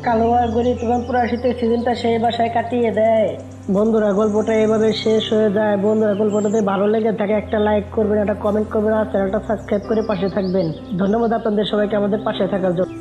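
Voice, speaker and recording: Male children's story narrator, fast, very monotone, music underneath. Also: high voice, rough recording.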